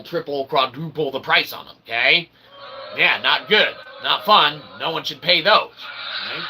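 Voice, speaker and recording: A man's voice: mid-range voice, pushed and bright, a little hiss or hum, poor audio. A man giving a rambling explanatory monologue to his audience in wordy, pseudo-academic phrasing, often checking in with short tag questions. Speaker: self-published author and channel host.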